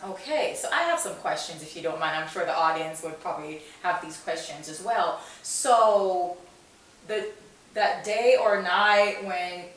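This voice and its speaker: A woman's voice: lowish voice, a little slow, a bit bright. A woman hosting a talk show in a conversational way, supportive, inquisitive and emphatic. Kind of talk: conversational interviewing. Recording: very echoey room, distant mic.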